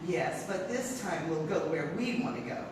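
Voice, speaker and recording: A woman's voice: low-pitched, lively intonation, moderate pace. A woman playing a dotty, kindly elderly aunt in a live stage comedy, genteel and unruffled. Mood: placid, chatty. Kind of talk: stage comedy radio-theatre acting. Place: live theatre, distant microphone. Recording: roomy, noisy stage recording from far off.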